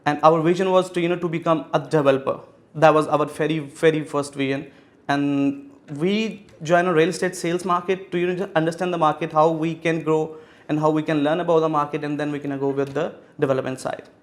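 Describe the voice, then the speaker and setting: Man from Pakistan, thirties, interview guest, monotone, fillers and restarts. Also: fairly high pitch. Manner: halting, many fillers and restarts. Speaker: real estate executive guest. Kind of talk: interview answers.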